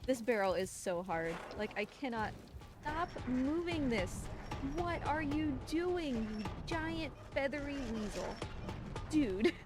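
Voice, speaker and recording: A woman's voice: sits high, expressive, slow pace, music in the background. A woman doing casual live gameplay commentary as a speedrunner, with a playful, exasperated, scolding manner. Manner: talks to the game creature in playful, exasperated scolding. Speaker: speedrunner streaming live for a charity marathon. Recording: clear background game noise and ambience.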